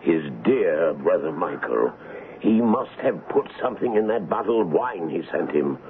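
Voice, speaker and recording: Anxious, formal colonel, low-voiced. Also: male voice, animated, normal speed. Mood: urgent, grim. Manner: formal, urgent, deferential. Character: anxious, dutiful colonel, aide to a king. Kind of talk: 1970s radio drama acting. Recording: noisy narrow-band vintage radio broadcast.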